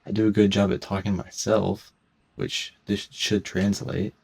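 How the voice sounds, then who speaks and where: Deep gravelly-voiced teen guy rambling. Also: flat delivery. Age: teens. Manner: halting, restarts and stutters, self-conscious. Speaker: gaming commentator. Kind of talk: rambling casual commentary over gameplay. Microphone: cheap headset mic.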